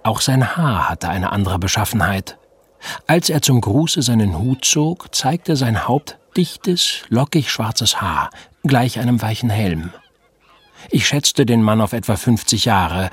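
A man narrating an old-fashioned detective tale in a measured, formal first-person voice. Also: fairly low pitch, animated, slightly rough, full character voice. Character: measured male storyteller, scholarly.

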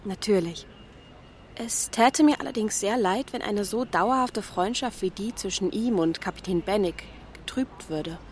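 A woman's voice: medium pitch, slight background noise, close to an everyday voice. An actress playing a polite, self-doubting genteel young woman in a German radio drama, speaking formally and with care. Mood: polite, guarded. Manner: measured, careful, formal.

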